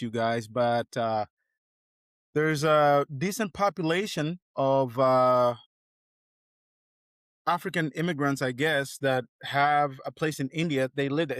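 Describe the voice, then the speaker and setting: A man's voice: mid-range voice, measured pace, no room sound, studio quality. A man hosting a podcast interview, talking casually in a loose, rambling way. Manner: rambling, loose, full of fillers and restarts. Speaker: podcast host.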